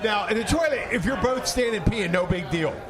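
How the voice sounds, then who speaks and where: High-voiced man bantering over bar crowd noise. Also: a little fast. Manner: animated, jokey, profane, often laughing. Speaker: podcast host and bartender. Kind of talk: casual bar banter and storytelling. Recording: noisy bar crowd behind.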